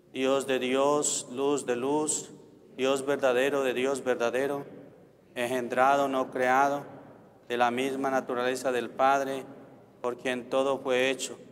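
A man, a priest leading Mass, reciting prayers in a solemn, measured liturgical style. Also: mid-range voice. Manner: solemn, measured, formulaic delivery. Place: large church sanctuary, indoors. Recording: reverberant church, background noise.

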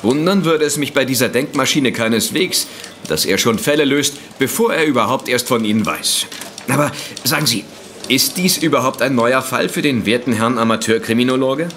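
Male actor as collegial newspaperman for radio play: middle register, deliberate and formal, slight background atmosphere. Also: mid-range voice, lively intonation, fairly bright tone, slight background noise, natural voice. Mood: wry, collegial. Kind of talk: German audio drama acting.

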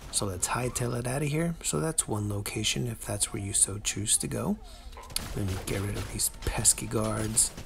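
A man's voice: medium pitch, vocal fry, slight background noise. A man giving a casual game walkthrough, chatty, playful and self-deprecating, as the host of a guide.

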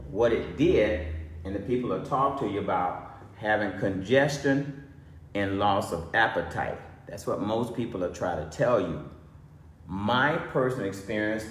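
A middle-aged man, a doctor, lecturing to a phone camera in an urgent care clinic, speaking slowly and repeating key phrases for emphasis. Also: fairly low pitch. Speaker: doctor giving a health lecture. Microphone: phone camera at a short distance. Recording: echoey clinic room.

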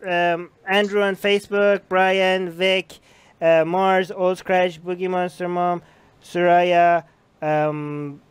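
A man's voice: high voice, clean tone. A man hosting a casual livestream from a small room at home on a headset mic. He teases, laughs often and repeats himself. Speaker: show host. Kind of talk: casual livestream chat, teasing.

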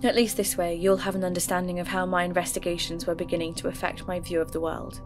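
A woman narrating her own investigation in first person for an audio drama podcast, in a measured, reflective storytelling manner. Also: on the low side, flat delivery. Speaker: narrator and host. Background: background music.

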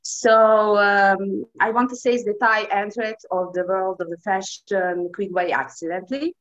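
A young woman with a Serbian accent introducing herself on a video call, speaking halting English with many fillers and restarts. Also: mid-range voice, fairly even intonation, poor audio. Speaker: pageant candidate. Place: indoor room.

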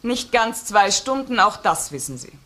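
An actress playing a cool, reserved widow in a German radio crime drama, giving guarded, clipped, matter-of-fact answers as a witness. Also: female voice, mid-range voice, sing-song, normal speed, bright, forceful tone. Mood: cool, pointed. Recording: old radio recording, faint noise.

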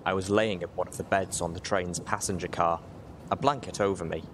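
A man with a low voice narrates a story in the first person. His reading is dramatic, like an audiobook, and sits deep in pitch. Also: steady tone, a little fast, noisy background.

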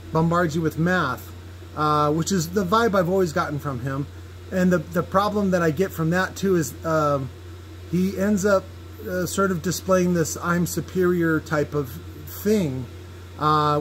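Higher-voiced man thinking aloud, noisy workshop. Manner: halting, thinking aloud, opinionated. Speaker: host demonstrating speaker tests. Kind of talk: casual unscripted technical commentary. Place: indoor workshop at a cluttered bench. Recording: noisy workshop with background hum.

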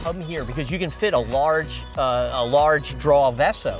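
A man's voice: on the high side, normal speed, music in the background. A middle-aged man, a local newspaper publisher, chatting animatedly in an outdoor interview at a waterfront construction site. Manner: animated, talks with hands.

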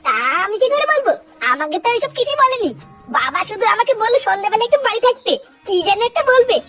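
Man doing a squeaky, cheeky cartoon lad. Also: animated, fast. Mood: irritated. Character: cheeky, foul-mouthed young son in a village cartoon. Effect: pitched-up voice. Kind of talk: comedy cartoon dialogue. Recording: music bed behind the voice.

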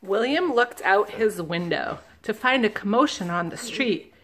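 A woman, a children's librarian, reading a picture book aloud slowly and gently, stopping to ask the listeners questions. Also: mid-range voice, animated, a little hiss or hum. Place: indoors at a table, camera above the book.

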